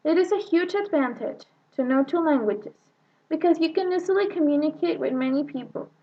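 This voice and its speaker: A woman's voice: sits high, expressive, brisk, highs cut off. A young woman from northern Mexico, a native Spanish speaker with a Mexican accent touched by Texan, giving a careful prepared talk about herself. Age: young adult. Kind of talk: prepared personal monologue.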